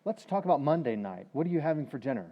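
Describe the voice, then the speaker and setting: A man's voice: a little high, sing-song, fast talker. A man playing a sheepish, mild-mannered husband in old-time radio comedy, hesitant and placating. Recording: muffled, reverberant hall recording.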